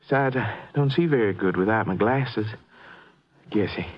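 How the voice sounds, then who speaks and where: An actor playing a plainspoken, folksy rancher in a vintage radio drama. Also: male voice, lowish voice, unhurried, slightly rough. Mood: groggy, recounting. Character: injured male rancher, plainspoken and dismissive. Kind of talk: 1950s radio acting. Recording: dull vintage radio recording.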